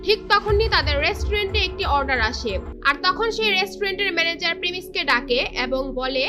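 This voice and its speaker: A woman's voice: very high-pitched, animated, quick pace, music in the background. A woman narrating a scripted story in a dramatic style.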